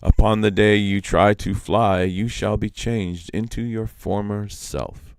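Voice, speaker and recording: A man's voice: lowish voice, steady tone, unhurried, vocal fry, darkish tone, clean tone, no room sound, pitched above the performer's own voice. A man voicing a stern old peacock in a storybook, solemnly pronouncing magical conditions. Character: stern elder male peacock who grants magical wishes.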